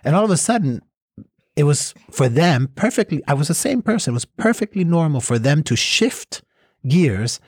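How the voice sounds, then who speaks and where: A man's voice: fairly high pitch, very animated, moderate pace, breathy edge, no room sound, clean studio sound. A middle-aged man from the United States, thoughtfully reflecting in an interview. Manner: thoughtful, reflective, with small restarts. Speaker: cross-cultural mediator. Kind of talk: interview answers.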